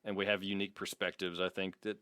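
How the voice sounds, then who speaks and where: Wry low-voiced man hosting a podcast. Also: flat delivery, fast, bright, forceful tone, clean tone, dry recording. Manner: wry, self-deprecating, mock-formal when reading. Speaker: podcast host. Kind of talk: jokey dramatic reading and casual banter.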